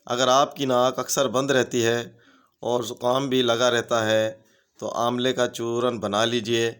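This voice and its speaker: Man, smooth clear tone, flat delivery, steady instructive reading. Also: mid-range voice, measured pace. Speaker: home remedies channel host. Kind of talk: reading home remedy tips.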